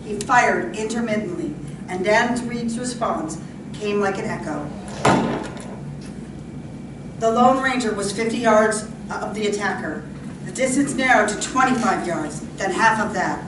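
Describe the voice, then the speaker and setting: A woman's voice: medium pitch, moderate pace. A woman giving dramatic scripted narration for an old-time radio play, performed live on stage. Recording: roomy, distant, very noisy live stage recording.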